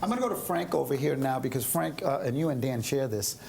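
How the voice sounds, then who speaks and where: Lively, fast-talking male talk show host. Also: medium pitch, vocal fry, a little hiss or hum. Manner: joking, lively, quick questions. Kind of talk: panel talk show hosting.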